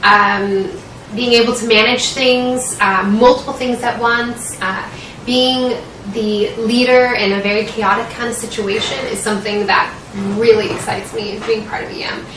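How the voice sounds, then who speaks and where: A young American woman answers interview questions in an earnest, searching way. Her voice is bright and a little high. She is picked up from a distance in a very echoey room. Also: fairly even intonation, normal speed. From Washington State, United States. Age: young adult. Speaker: medical student interviewing for residency. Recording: roomy echo, distant mic, faint noise.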